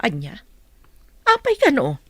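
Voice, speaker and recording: A woman with a Filipino accent playing a nosy, excitable middle-aged mother in an Ilocano radio drama. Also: a little high, takes it slowly, breathy edge, no room sound, heavily acted. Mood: prying, excited.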